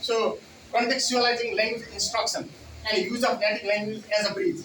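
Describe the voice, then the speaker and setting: A man's voice: sits high, fairly even intonation, fairly quick, sharp tone, a little hiss or hum. Man with a Nepali accent, far-off roomy hall. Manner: frequent "you know" fillers, repeats key words. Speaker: conference speaker on English teaching. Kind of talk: conference talk.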